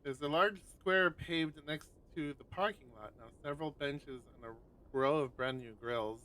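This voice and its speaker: A man's voice: on the high side, moderate pace, sharp tone, poor audio. A man hosting a live stream, chatting casually with friends and reading a visual novel's text aloud with rambling asides. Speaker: streamer host.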